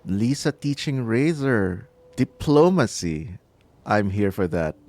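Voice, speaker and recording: A man whose native language is Filipino gives a relaxed, chatty commentary over his gameplay. He speaks slowly, and his pitch moves a lot. A faint ambient noise sits behind him. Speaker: gaming channel host. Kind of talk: casual gaming commentary.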